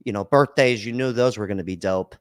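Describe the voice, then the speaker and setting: A man's voice: fairly low pitch, fairly quick, no room sound, clean studio sound. A man hosting a call-in podcast, talking loosely and bluntly in slang, swearing freely. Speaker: podcast host. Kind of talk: casual chat.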